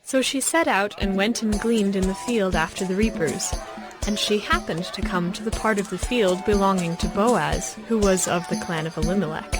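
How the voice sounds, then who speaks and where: A woman narrating scripture as a scripted audio story, with measured, clear phrasing. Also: mid-range voice. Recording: background music under the voice.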